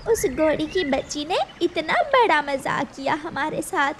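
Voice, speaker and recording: A woman's voice: sits high, animated, measured pace, a little hiss or hum, voice pushed up. A woman voicing a caring, earnest mother bird in a children's cartoon, tender and plaintive. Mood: hurt, determined. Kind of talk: Hindi children's cartoon story.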